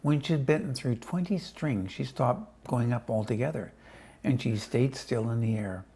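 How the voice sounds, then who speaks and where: A man with a mid-pitched, husky voice reads a children's story aloud. His delivery is casual and conversational, and he breaks off for chatty asides to whoever is listening. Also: moderate pace, dark tone. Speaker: storybook reader.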